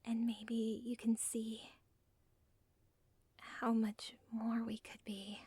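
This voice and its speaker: Breathy whispering villain's daughter, acted by a woman. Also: a little high, completely flat delivery, darkish tone, dry recording, full character voice. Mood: tender confession. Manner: halting, deliberate, intimate. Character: villain's lovestruck, self-aware yandere daughter, nervous but devoted. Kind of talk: whispered ASMR audio roleplay.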